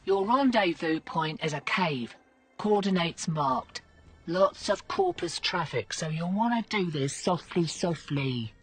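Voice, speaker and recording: A woman's voice: on the low side, animated, slow pace, husky, recorded from a distance, full character voice. A woman acting as a cool, cryptic, roguish covert operative in a video game, speaking in clipped, quirky phrases. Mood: sly, confident. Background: music.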